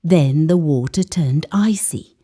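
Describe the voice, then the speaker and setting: Slow, mellow woman telling a dark folktale. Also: low-pitched. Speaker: storyteller. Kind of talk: dramatic scripted folktale narration.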